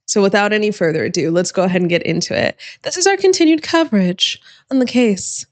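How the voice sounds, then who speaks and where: A woman criminal attorney hosting her channel, her voice going hoarse, talking emphatically and directly to viewers in casual commentary. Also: mid-range voice, expressive, quick pace, darkish tone, no room sound. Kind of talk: casual reaction commentary to camera.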